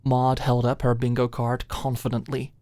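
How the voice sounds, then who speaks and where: A woman's voice: a bass voice, completely flat delivery, moderate pace, warm tone, no room sound. A woman narrating scripted fiction as an ASMR audiobook storyteller.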